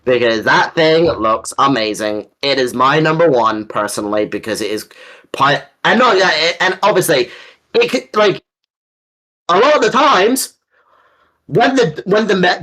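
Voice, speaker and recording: Animated, rambling young-sounding male fan. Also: high voice, moderate pace, husky, low-quality recording. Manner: enthusiastic, rambling, casually sweary. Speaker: co-host. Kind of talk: casual fan discussion.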